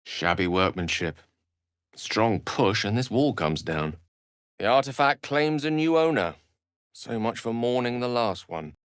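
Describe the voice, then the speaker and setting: An actor playing a learned, wry wizard adventurer in a video game, with a British accent and an eloquent, theatrical way of talking. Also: male voice, mid-range voice, lively intonation, a little slow, fairly bright tone, clean tone, dry recording, clean studio sound. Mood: alarmed, indignant. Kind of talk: video game character voice acting.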